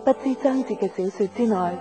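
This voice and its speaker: A romantic, reflective female storyteller with a Hong Kong accent, acted by a woman. She narrates a radio drama in a mid-pitched voice, with clear guitar music behind her. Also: a little fast, natural voice.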